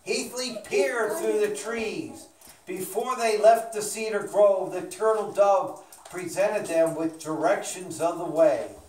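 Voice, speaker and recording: A narrator presenting a children's puppet show in deliberate, declamatory scripted storybook narration. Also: mid-range voice, darkish tone. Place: small indoor meeting room with audience of children. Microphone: distant camera microphone. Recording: echoey room, distant, background hiss.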